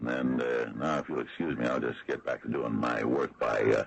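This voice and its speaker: An actor playing a gruff, stubborn, skeptical small-town American sheriff in an old radio drama, folksy and grumbling. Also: male voice, a bass voice, steady tone, normal speed, full character voice. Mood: indignant. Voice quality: gravelly, husky. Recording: dull vintage radio broadcast recording.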